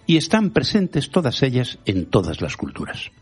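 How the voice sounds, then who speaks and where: A man whose first language is Galician, hosting a radio show with a measured, rhetorical scripted editorial. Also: mid-range voice, wildly swooping pitch, breathy edge, faint music behind. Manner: measured, rhetorical, quotes proverbs and thinkers.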